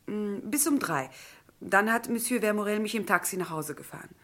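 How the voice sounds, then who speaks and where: A woman's voice: medium pitch, animated, brisk, a bit bright. A woman playing an earnest, vulnerable young Frenchwoman in a German radio crime drama, candid and polite in manner. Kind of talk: German radio crime drama acting, mid twentieth century.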